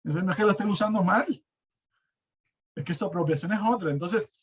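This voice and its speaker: A man's voice: sits high, a little fast, husky. A man with a Cuban accent speaking as an academic panelist in a spontaneous discussion, hesitating and repeating words as he reasons. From Cuba. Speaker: academic panelist and university teacher. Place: online seminar, remote call.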